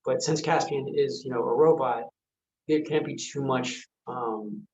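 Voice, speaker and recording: A man speaking as an actor guest on a video call, answering casually in a hesitant, halting manner. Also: medium pitch, a little mellow. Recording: slightly dull video-call audio.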